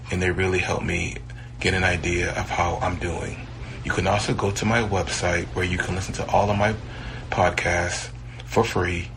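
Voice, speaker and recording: A man hosting a podcast, giving a relaxed, friendly sign-off. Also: low-pitched, vocal fry, slight background noise, low-quality recording. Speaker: podcast host. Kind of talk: casual podcast outro.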